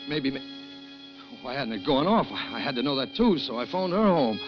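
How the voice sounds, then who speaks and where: A man plays an anxious working-class schemer telling his own crime story. His delivery is tense and halting, in classic mid-century radio suspense style. The sound is dull and vintage, with prominent music underneath. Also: mid-range voice, very animated, close to an everyday voice. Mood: shaken, tense. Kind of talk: 1940s-50s radio suspense acting, first-person narration.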